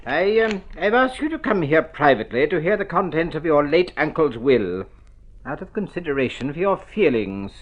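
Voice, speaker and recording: Dry, pedantic solicitor for a radio play, fairly high voice, expressive inflection, dull old recording. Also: male voice, moderate pace. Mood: solemn. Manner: hesitant, pedantic, formal. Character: formal, stiff family lawyer, dry and patient. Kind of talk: vintage Australian radio drama acting.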